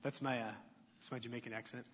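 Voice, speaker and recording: A man preaching a sermon in a relaxed, conversational way, joking and asking the congregation questions. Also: mid-range voice, quick pace. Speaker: pastor. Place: church sanctuary. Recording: muffled reverberant sanctuary recording.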